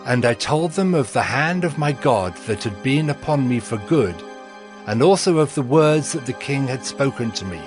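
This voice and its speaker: A man delivers dramatized scripture narration in a middle register. His telling is solemn and measured, like formal storytelling, and music plays behind him. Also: medium pitch, lively intonation. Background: background music.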